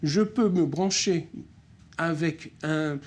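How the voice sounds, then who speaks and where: A middle-aged French-speaking man, co-director of a nonprofit, answering interview questions with many pauses, fillers and restarts. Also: fairly high pitch, a little mellow.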